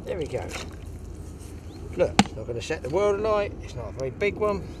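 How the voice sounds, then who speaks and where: A man's voice: high voice, big pitch swings, a little fast, very noisy. A man, hosting a fishing show outdoors at a lakeside and chatting instructively to viewers. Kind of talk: casual outdoor fishing commentary.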